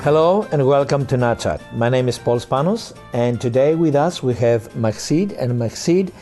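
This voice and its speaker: A man hosting an interview, asking gentle, slightly hesitant questions. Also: mid-range voice, expressive, moderate pace, soft background music. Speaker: interviewer, show host.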